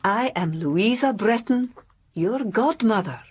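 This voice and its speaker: Unhurried, kindly older godmother. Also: a little high, lively intonation, darkish tone, slight background noise. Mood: soothing, composed. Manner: soothing, unhurried. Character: kindly, composed older English godmother and mother. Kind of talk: literary audio drama acting.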